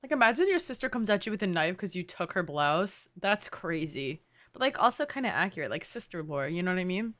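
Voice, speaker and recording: A woman's voice: fairly low pitch, very animated, a little fast, a bit bright. A young adult woman giving casual, slangy, joking commentary while livestreaming a game.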